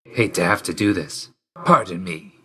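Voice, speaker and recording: A video game god of death with a low voice and a hard, forceful edge. He is grim and reserved, and he speaks in terse, solemn phrases. A dry loyalty sits under the sternness. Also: moderate pace, slight background noise. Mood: cool, terse. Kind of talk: scripted video game voice lines.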